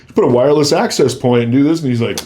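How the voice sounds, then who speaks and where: A man's voice: mid-range voice, racing, warm tone, slight background noise. A man speaking as a podcast guest, telling anecdotes casually and acting out short bits of dialogue as he goes.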